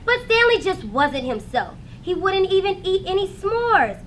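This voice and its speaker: Bright, high-pitched woman reading a storybook. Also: a little slow, slight background noise, poor audio. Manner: expressive, dramatic emphasis for young listeners. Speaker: teacher reading aloud to students. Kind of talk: animated children's storybook narration.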